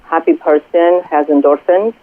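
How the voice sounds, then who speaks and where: A woman from Greece with a Greek accent, a spiritual coach speaking earnestly and haltingly as a guest on a radio interview. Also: low-pitched, fairly even intonation, no room sound. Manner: earnest, halting, many pauses and fillers. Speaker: spiritual coach and Reiki healer. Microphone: telephone. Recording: tinny phone line, dry.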